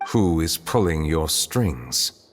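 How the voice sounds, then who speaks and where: A man playing a solemn, dignified chief justice in a video game, speaking in a measured, formal, guarded way. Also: a bass voice, very animated, a little hiss or hum, full character voice. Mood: stern, interrogating. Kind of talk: dramatic game dialogue. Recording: music behind.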